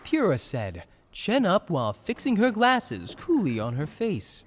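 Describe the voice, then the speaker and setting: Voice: mid-range voice, huge pitch swings, measured pace, slight background noise. A narrator reading a scripted story for an audio drama in storybook style.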